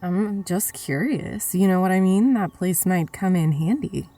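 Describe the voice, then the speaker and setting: A woman's voice: lowish voice, measured pace, mellow, far from the mic, faint music behind, close to an everyday voice. A woman playing a nosy, bold, streetwise bank robber in an audio drama, speaking bluntly and tersely. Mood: curious, scheming.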